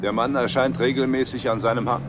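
Casting: man playing dutiful aide to the boss, mid-pitched, heavy car noise, vintage TV film. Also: steady tone, brisk, lightly acted. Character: deferential company aide reading out the newspaper. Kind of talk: 1960s German TV drama acting. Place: inside a moving car. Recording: very noisy car interior, old film.

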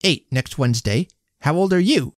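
A man's voice: a little high, lively intonation, moderate pace, no room sound, clean studio sound, voice pushed up, natural voice. A man voicing a precocious, cheeky eight-year-old boy in a storybook reading. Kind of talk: storybook character voice.

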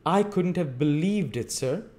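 A man's voice: expressive, unhurried, darkish tone. A man voicing a harsh storybook matron of a poorhouse, who scolds sharply and fawns on her betters. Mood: fawning. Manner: shrill scolding, obsequious to superiors. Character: harsh, scolding, fawning woman running a poorhouse.

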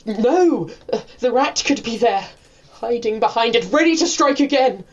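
A man's voice: extremely high pitch, animated, normal speed, lo-fi sound. Man acting timid, snobbish, stammering posh brother. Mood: nervous, evasive. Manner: hesitant, stammering, evasive. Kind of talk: comedy audio drama acting.

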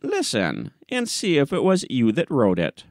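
A man voicing an austere, indignant old gentleman who questions testily in an audiobook story. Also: medium pitch, huge pitch swings, measured pace, no room sound, studio quality, pitched above the performer's own voice. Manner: stern, testy questioning.

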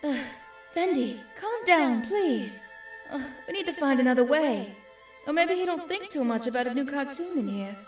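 An anxious young cartoon angel acted by a woman, high in pitch and apologetic. The delivery is hesitant and emotional, recorded roomy and away from the mic. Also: lively intonation, moderate pace, far from the mic, soft background music. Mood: weary. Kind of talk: comic dub acting.

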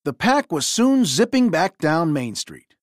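Lady reading a kids storybook, super animated, dry clean sound. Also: on the low side, unhurried, dry recording. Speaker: storybook reader. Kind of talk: expressive scripted children's story narration.

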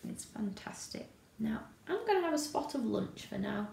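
A woman's voice: mid-range voice, sing-song, moderate pace, creaky, a little mellow. A woman in her thirties with a British accent, chatting casually to camera as a presenter. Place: indoor room at home. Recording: roomy home sound.

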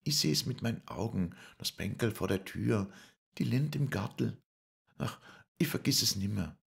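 A man voicing a warmhearted young woman, a traveling puppeteer's daughter who speaks in a lilting southern German dialect. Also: lowish voice, lively intonation, moderate pace, gravelly, voice pushed up. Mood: wistful. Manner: heartfelt, lilting dialect speech.

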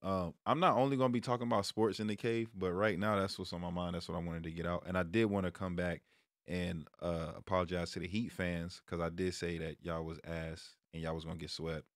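A man's voice: low voice, steady tone, fairly quick, no room sound, clean studio sound. An American man in his thirties chatting casually about sports to camera in a laid-back, slangy way. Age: 30s. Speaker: streamer and sports vlog host. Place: small room at home, indoors. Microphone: close microphone on a stand, headset on.

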